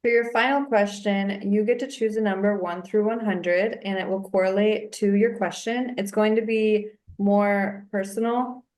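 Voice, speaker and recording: A woman's voice: mid-range voice, fairly even intonation, normal speed, hardly any pauses, darkish tone, poor audio. A woman hosting a podcast interview, asking questions in a friendly way. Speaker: podcast host.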